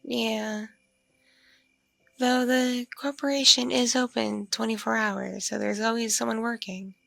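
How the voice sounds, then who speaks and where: A woman playing a mature, flirtatious, spacey female vampire in an ASMR roleplay, speaking softly and hesitantly, as if in a one-sided intimate conversation. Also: a little high, fairly even intonation, gravelly, no echo, soft background music, full character voice. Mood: relaxed, self-deprecating. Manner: hesitant, murmuring, lingering pauses with ums and mms. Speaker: roleplay voice performer.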